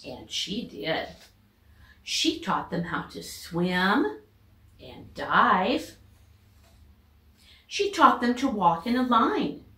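A middle-aged American woman, a teacher, reading a children's storybook aloud with expressive narration. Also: mid-range voice, drawn-out words, long pauses. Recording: echoey home room recording.

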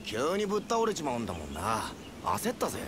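An actor playing a brash, good-hearted young fighter in a game, casual and rough-spoken. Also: male voice, mid-range voice, huge pitch swings, brisk. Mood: blunt, friendly. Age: young adult. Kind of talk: anime-style video game acting. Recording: clear outdoor ambience noise behind.

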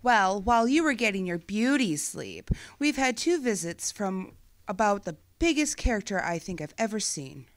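An actress playing a detective's wry, loyal secretary in a radio drama, dry and knowing. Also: female voice, mid-range voice, very animated, normal speed, dry recording, close to an everyday voice. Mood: teasing, wry. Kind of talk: old-time radio drama acting.

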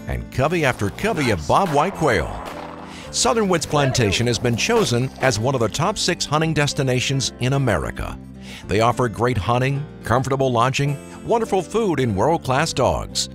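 A man's voice: mid-range voice, fairly bright tone. A man reading polished, upbeat scripted commercials and sponsor credits as a show announcer. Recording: voice-over over guitar music.

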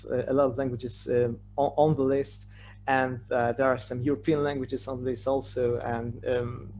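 A man's voice: medium pitch, level tone, moderate pace, a little mellow. Hesitant Polish-accented man, noisy line. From Poland. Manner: hesitant, repeats words and stammers over phrase starts. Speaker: conference presenter. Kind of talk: slide presentation lecture. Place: remote online presentation with shared screen. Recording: clearly noisy online talk with hum.

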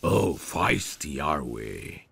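A very slow, menacing mugger from an ASMR roleplay , acted out by a man. He taunts and threatens in a rock-bottom, gravelly male voice, leering as he goes. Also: a bass voice, big pitch swings, a bit bright, no room sound, exaggerated voice. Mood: leering, taunting. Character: menacing male street mugger, lecherous thug.

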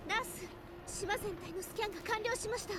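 A woman's voice: very high voice, a little fast, noisy background. A woman voicing a polite young female android aide, speaking in a courteous, formal way. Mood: calm. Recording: loud game score under voice.

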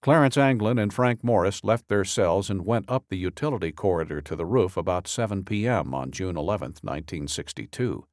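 A man with a fairly low voice narrates a true-crime audiobook. His delivery is measured and matter-of-fact, each phrase set down deliberately. The sound is dry and close to the microphone. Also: lively intonation, darkish tone, dry recording. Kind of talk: scripted narration, reading a true-crime statement.

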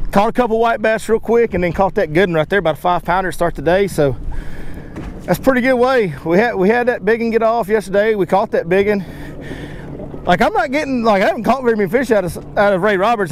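Excitable man on a fishing boat. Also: high-pitched, slight background noise. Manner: excitable, folksy, talks to his dog and fish. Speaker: fishing guide and channel host. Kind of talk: casual fishing vlog narration. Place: outdoors on a boat on a lake.

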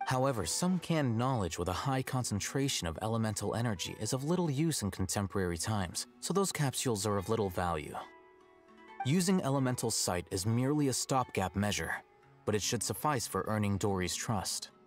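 An actor playing an aloof, condescending young scholar in a video game, speaking in a measured, dry, deadpan way. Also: male voice, on the low side, soft background music, close to an everyday voice. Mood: matter-of-fact.

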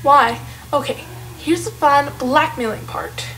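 An actress with an American accent playing a defiant, scheming sixteen-year-old daughter in a teen TV drama. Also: female voice, high voice, unhurried, over loud music. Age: teens. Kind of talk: live-action teen TV film acting. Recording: noisy TV clip with background ambience.

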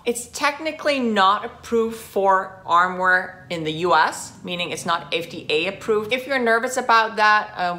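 A woman in her forties from Denmark, a guest talking casually to camera in her living room, friendly and animated. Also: lowish voice. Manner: friendly, chatty, animated with hand gestures. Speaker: guest, founder of a website.

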